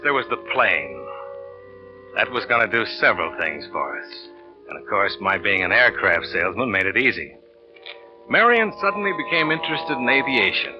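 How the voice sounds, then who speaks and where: An actor playing a cynical, smooth-lying American salesman and narrator in a 1940s radio thriller, cool and offhand in manner. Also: lowish voice. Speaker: lead actor and first-person narrator. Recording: dull vintage radio recording with music.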